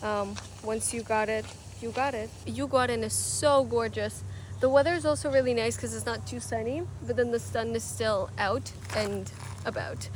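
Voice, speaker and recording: A woman's voice: fairly high pitch, normal speed. A young woman in her twenties chatting casually as a vlogger while out in a city, rambling with lots of fillers. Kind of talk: casual travel vlog. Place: outdoors in a city, partly riding a bike. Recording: outdoor street noise and traffic. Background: city ambience and passing vehicles.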